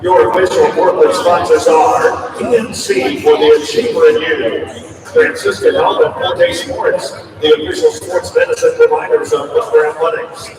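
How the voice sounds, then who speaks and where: A woman's voice: very high voice, huge pitch swings, normal speed. A woman reading scripted sponsor announcements as an arena announcer. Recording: echoey arena PA, far off, crowd noise. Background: crowd in arena.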